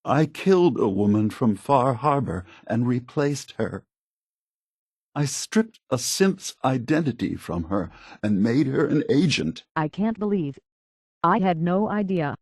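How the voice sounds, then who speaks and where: An actor playing a weary, guilt-burdened synth leader in a video game, speaking softly in a measured, grave, philosophical way. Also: male voice, mid-range voice, expressive, no echo, studio quality. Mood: shaken, pleading. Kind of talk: scripted video game character dialogue.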